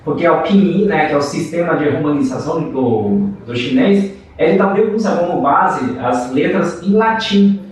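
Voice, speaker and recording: A man's voice: fairly high pitch, lively intonation, moderate pace, few pauses, recorded from a distance, a little hiss or hum. A Chinese-born man whose first language is Mandarin, co-hosting a casual podcast chat and filling his speech with "né" tags and restarts. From China. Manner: frequent "né" tags, hesitant restarts. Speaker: podcast co-host. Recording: echoey room, off-mic.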